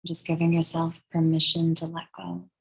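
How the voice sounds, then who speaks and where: Woman doing guided meditation, soft breathy voice w lots of fry, pretty even tone, long gaps between phrases. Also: sits low, poor audio. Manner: measured, instructive, with long pauses between phrases. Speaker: guided meditation and yoga teacher. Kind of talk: slow, soothing guided meditation.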